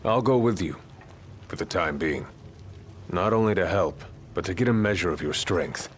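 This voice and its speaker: A man playing a stern, composed royal guard and mentor in a video game, speaking in a measured, formal, authoritative way. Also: low voice, animated, slight background noise. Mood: grave, steady. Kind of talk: video game cutscene acting.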